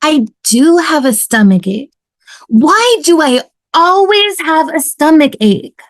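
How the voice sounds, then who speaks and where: A woman's voice: high-pitched, big pitch swings, slow, no echo, exaggerated voice. A woman playing a despairing, nihilistic male ethics professor in a sitcom table read. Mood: sulky. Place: home, on a video call.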